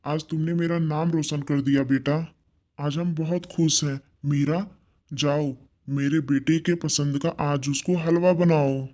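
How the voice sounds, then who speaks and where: A poor village father, played by a man in an animated moral story. His voice is dark and mellow, and he speaks in a gentle, fatherly way. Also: on the high side, slow. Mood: proud.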